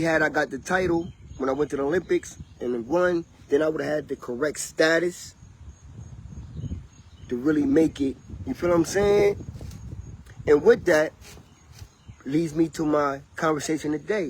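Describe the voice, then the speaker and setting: A man's voice: fairly high pitch, a little hiss or hum. A man, talking in a loose, rambling and preachy way to his livestream viewers. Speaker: vlogger. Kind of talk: casual livestream monologue.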